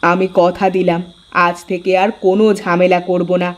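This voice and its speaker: A woman voicing a sharp-tongued elder sister-in-law in a village cartoon story. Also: lowish voice, monotone, normal speed, natural voice. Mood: humbled, conceding. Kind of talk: Bengali cartoon dialogue.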